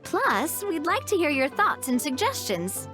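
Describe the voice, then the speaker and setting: A woman voicing a confident, upbeat young festival organizer in a video game, breezy, expressive and reassuring. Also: sits high, measured pace, music in the background, exaggerated voice.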